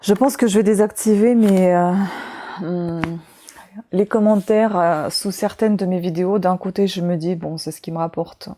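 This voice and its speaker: A woman's voice: on the low side, dark tone. A woman in her forties with a foreign accent in French, a vlogger chatting casually as she reads out book titles and adds asides. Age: 40s. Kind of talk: casual chat.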